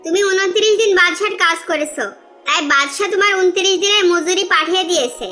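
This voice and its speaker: A woman playing a demanding, sharp-tongued young wife in an Islamic cartoon, nagging and questioning. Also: very high-pitched, fast, faint music behind. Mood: reproachful. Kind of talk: Islamic moral-tale cartoon dubbing.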